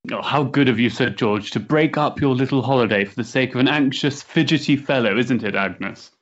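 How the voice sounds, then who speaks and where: An actor playing a nervous, self-dramatising young Victorian gentleman with an effusive, theatrical manner in a period drama. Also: brisk, muffled recording, natural voice. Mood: effusive, anxious. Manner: effusive, highly strung, theatrical. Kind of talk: period stage drama acting.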